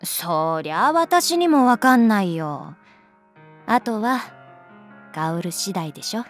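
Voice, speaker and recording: A grown woman teacher in an anime-style audio drama, gently detached and frank. She speaks slowly and softly at a middle pitch, with music underneath. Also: very animated. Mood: gently reassuring. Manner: unhurried, soft-spoken, frank. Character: adult female homeroom teacher, calm, guarded, gently detached. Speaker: voice actress.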